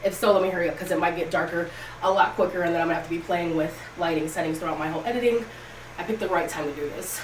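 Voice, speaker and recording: Young woman, stuffy nose from a cold, chatty and candid, lowish voice, echoey sound from a distant mic, faint background hum. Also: very fast. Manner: chatty and candid, talks straight to viewers. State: congested from a cold, sniffly. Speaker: fashion vlogger, channel host. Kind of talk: casual try-on haul chat to camera. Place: outdoors, windy. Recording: roomy echo, distant mic, faint ambient noise.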